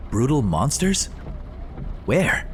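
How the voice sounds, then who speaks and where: An actor playing an eager, cocky young soldier in a video game. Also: male voice, medium pitch, sing-song, takes it slowly, slight background noise. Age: young adult.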